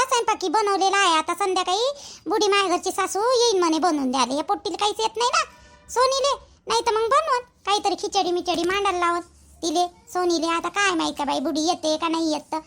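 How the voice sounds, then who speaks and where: A woman's voice: very high-pitched, a bit bright. A woman voicing a busy, talkative village mother in a cartoon, rambling and repeating herself in colloquial Vidarbha Marathi. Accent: Varhadi Marathi, Vidarbha. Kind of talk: rural family cartoon dialogue.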